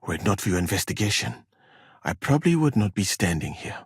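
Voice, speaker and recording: Learned medieval traveler from mali, video game dialogue, lowish husky voice, formal n polite, measured, super dry sound. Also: male voice, very animated, no echo, exaggerated voice. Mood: composed, courteous. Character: learned, courteous medieval man from Mali, well-travelled scholar.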